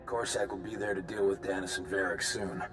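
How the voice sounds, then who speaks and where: An actor playing a skeptical military captain in a video game, giving a brusque, matter-of-fact briefing. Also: male voice, deep voice, steady tone, a little fast, natural voice. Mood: brisk, skeptical. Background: game music.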